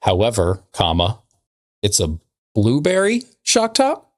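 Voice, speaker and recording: A man's voice: lowish voice, wildly swooping pitch, slow, no echo, clean studio sound. A man co-hosting a podcast in casual, joking, conversational banter. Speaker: podcast co-host.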